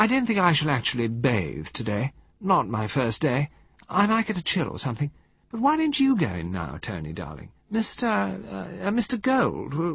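Breezy self-absorbed society woman read by a man, sweet drawl, pitched well up and swooping, dull dry audiobook sound. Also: vocal fry, fairly bright tone, slightly rough, no room sound, exaggerated voice. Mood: cooing.

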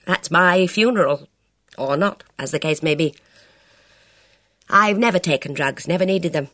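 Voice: medium pitch, no echo, studio quality, voice pushed up, close to an everyday voice. A reader voicing a bold, reckless middle-aged woman who speaks sharply and commandingly. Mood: imperious. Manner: brisk, imperious, sharp.

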